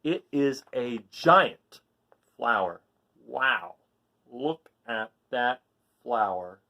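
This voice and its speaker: A man reading a children's picture book aloud, with dramatic, drawn-out emphasis on key words. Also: medium pitch, animated, bright, forceful tone. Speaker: storybook reader reading aloud.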